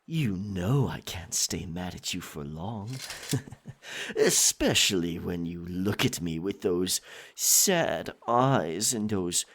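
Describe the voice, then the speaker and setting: Man playing a low, husky, possessive pirate captain. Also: animated, slow, fairly bright tone, full character voice. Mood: doting, teasing. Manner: theatrical, teasing, dominant, pet names. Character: possessive, roguish male pirate captain turned merman. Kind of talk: ASMR roleplay acting.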